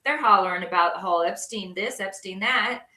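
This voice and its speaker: A woman's voice: mid-range voice, brisk, sharp tone. A woman co-hosting a casual opinion talk show, blunt and salty in her remarks. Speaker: co-host. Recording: echoey room, voice far from mic.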